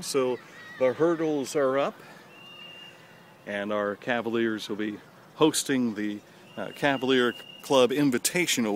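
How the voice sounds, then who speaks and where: A man, chatting through a handheld walking tour of an outdoor high school stadium, folksy and proud of his hometown. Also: a little hiss or hum. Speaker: local stadium tour guide. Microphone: handheld camera at arm's length.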